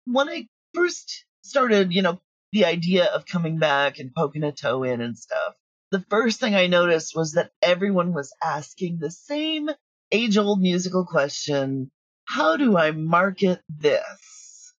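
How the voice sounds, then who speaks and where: A woman talking casually as an interview guest, telling stories in an animated way with quoted asides. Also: lowish voice, a little slow, no room sound, muffled recording. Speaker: audio drama creator, interview guest.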